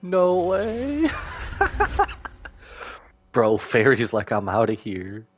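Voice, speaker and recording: A man's voice: medium pitch, huge pitch swings, extremely slow. A man streaming a game with casual live reactions, slangy and jokey, musing aloud.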